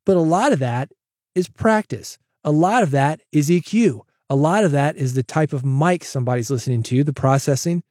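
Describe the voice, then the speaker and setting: A man hosting a solo podcast, coaching listeners in an energetic, direct way on a broadcast mic. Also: a little high, moderate pace, no echo, studio quality. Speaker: podcast host. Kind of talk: solo podcast advice with sponsor read. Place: home studio, processed dry voice. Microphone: studio broadcast dynamic mic.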